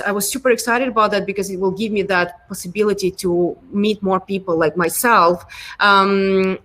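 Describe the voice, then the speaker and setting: A woman's voice: medium pitch, a bit bright. Ukrainian-accented woman, hesitant, many fillers. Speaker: guest, product design lead. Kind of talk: casual interview answers.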